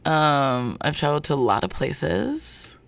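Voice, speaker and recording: Gravelly man talking things through. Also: fairly high pitch, sing-song, measured pace, fairly bright tone, no echo. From born in North Carolina, family from Zimbabwe. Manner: thoughtful, searching, many fillers and restarts. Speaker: guest, performer of a show. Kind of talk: reflective interview talk.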